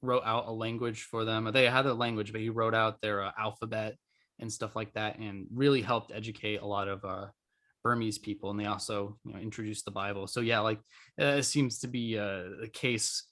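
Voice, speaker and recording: A man with a fairly low voice hosts a casual podcast discussion. His delivery is halting and hesitant as he feels his way through each point. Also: little pitch movement, fairly bright tone. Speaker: podcast host.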